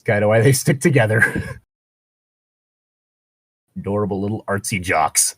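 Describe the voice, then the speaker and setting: A man's voice: on the low side, lively intonation, unhurried, a bit bright, slightly rough, no echo, studio quality. A man chatting casually between bits of reading fan fiction aloud, rambling and breaking off to comment or swear.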